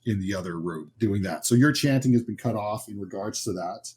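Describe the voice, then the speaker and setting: A man's voice: fairly low pitch, brisk, a little mellow, breathy edge. A man as game master, improvising narration and explaining rules in a conversational way for a horror tabletop game.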